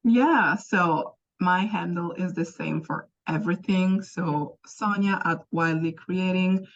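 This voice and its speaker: A woman's voice: lowish voice, takes it slowly, dull audio. A woman whose first language is Italian, speaking English with an Italian accent as a podcast guest, chatting expressively and laughing often. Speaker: guest, business founder. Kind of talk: casual chat.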